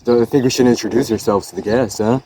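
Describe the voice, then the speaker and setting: A young man playing a silly, self-styled traumatized veteran in an amateur comedy skit, jokey and switching between loud lines and mutters. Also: medium pitch, quick pace, background noise, close to an everyday voice. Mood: goofy. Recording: loud music behind voice.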